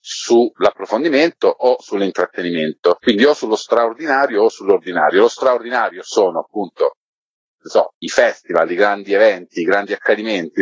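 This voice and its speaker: A man's voice: lowish voice, slightly rough. A man giving discursive, explanatory answers in a conversational interview. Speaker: podcast curator being interviewed. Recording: roomy, dull lo-fi line.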